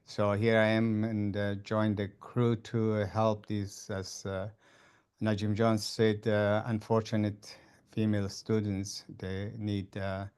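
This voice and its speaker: An older man, a retired architect and professor, introduces himself in an online meeting. He speaks in a measured, plain way. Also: on the low side, monotone, a little mellow, clear, resonant tone. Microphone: video call.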